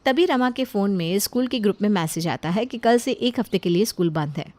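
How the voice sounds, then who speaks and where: A woman reading scripted narration for an animated family moral story. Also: medium pitch, fairly quick, no echo.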